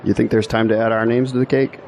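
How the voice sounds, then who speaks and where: A man's voice: mid-range voice, level tone, fast, creaky, darkish tone, lightly acted. An actor playing a self-deprecating young male graduate in an audio drama, with a dry delivery. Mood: joking. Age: young adult. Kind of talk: scripted audio drama dialogue. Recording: faint outdoor background noise.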